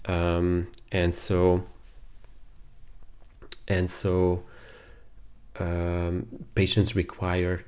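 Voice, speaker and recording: Male medical lecturer, deep register, unhurried delivery, halting explanatory phrasing. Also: completely flat delivery, a little mellow, clear, resonant tone. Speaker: radiologist giving a lecture. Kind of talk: medical lecture over slides.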